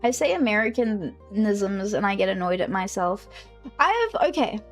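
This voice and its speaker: A woman's voice: mid-range voice, big pitch swings, normal speed, faint music behind. A woman from South Africa with a South African English accent, chatting casually on a game stream, rambling and correcting herself as she goes. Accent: South African English, with a British-leaning lilt. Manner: rambling, self-correcting, laughs often.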